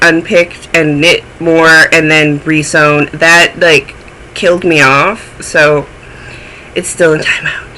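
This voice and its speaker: Male podcast host, a touch phlegmy, chatty and self-mocking, with a sharp, bright tone. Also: fairly high pitch, a little hiss or hum, low-quality recording. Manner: chatty, rambling, self-deprecating. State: a little phlegmy. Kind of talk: casual chat.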